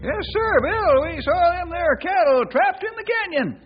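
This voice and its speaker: Very high-pitched old cowboy sidekick. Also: male voice, animated, unhurried, music in the background. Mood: earnest. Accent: rural American West. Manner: folksy, drawling country phrasing, jokey. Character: folksy old cowboy sidekick, good-natured and forgetful. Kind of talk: old-time radio western acting. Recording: dull lo-fi old radio recording.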